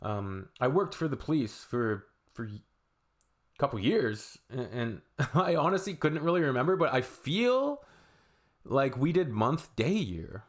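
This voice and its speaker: A man's voice: medium pitch, sing-song, unhurried. A man, hosting a reaction video in a loose, rambling way with shrugging asides. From Canada. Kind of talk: casual reaction commentary.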